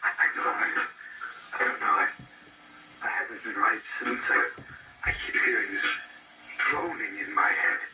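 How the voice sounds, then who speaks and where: A man playing a shaken, haunted archaeologist recounting his ordeal in a halting horror monologue. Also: on the low side, fairly even intonation, bright, forceful tone. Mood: haunted, distressed. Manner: halting, repeats himself, haunted. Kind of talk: horror found-footage monologue. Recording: distant, noisy, lo-fi tape-like sound.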